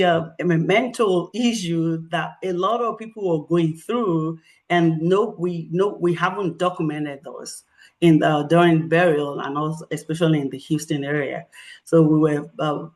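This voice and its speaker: A middle-aged woman with a Nigerian accent, a professor presenting research at a community meeting with animated storytelling and frequent fillers. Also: lowish voice.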